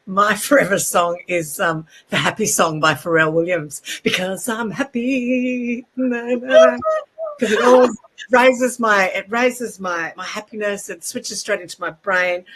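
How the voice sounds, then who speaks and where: A woman's voice: lowish voice, a bit bright. Cheerful woman guest, faint background noise. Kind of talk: casual chat.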